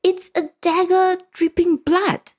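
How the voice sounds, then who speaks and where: A woman's voice: very high voice, little pitch movement, slow pace, pitched above the performer's own voice. A woman voicing a pompous, dramatic pampered female cat, prim and theatrical. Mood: disgusted.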